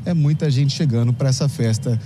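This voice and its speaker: A man reporting the news in a voice-over, delivering clear, informative narration. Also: mid-range voice, fairly quick, noisy background.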